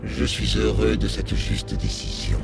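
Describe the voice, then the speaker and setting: An arrogant alien elite agent from a video game, his voice extremely deep and thick with growl. He is haughty and theatrical, dripping contempt. Loud music plays underneath. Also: fairly even intonation, measured pace, exaggerated voice. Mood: smug. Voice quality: gravelly. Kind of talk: video game cutscene acting in French.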